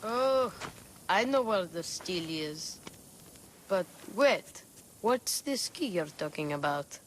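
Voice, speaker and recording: An actress voicing an eager, excitable young child full of questions in a video game cutscene. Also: female voice, on the low side, slow pace, a little hiss or hum. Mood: defensive. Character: eager young child asking after missing father.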